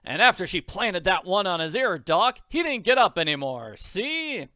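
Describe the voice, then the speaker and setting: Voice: medium pitch, big pitch swings, moderate pace, dry recording, studio quality, voice pushed up. A performer voicing a jovial rich tycoon from a comic strip, a proud father with brash, slangy bluster. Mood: boastful, gleeful. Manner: slangy, loud, gleeful bragging.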